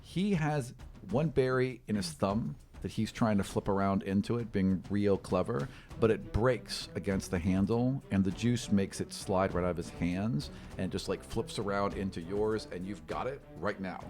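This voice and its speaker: Low-voiced man game-mastering, music behind. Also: moderate pace, a little mellow. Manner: conversational, encouraging the player. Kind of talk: improvised narration of a tabletop fantasy game.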